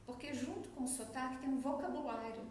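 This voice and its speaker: Minas Gerais woman, high voice, echoey. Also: fairly quick, darkish tone. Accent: Minas Gerais Brazilian Portuguese. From Brazil, Minas Gerais. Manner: didactic, acts out quoted remarks. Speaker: speech therapist guest. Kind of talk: explanatory interview answers. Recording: very echoey room, faint noise.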